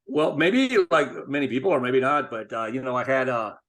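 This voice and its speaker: A middle-aged man from Spain, a guest in an interview, talking in a measured, thoughtful way with frequent restarts. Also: medium pitch, expressive, fairly bright tone. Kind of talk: casual interview talk.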